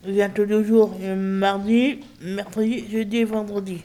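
A woman's voice: medium pitch, flat delivery, normal speed, mellow. A woman of fifty-nine introducing herself simply as a radio show participant. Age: 50s.

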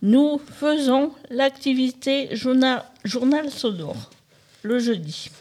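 A woman in her fifties reading a prepared text aloud in a halting, word-by-word way. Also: high-pitched, a little mellow. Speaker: radio show participant.

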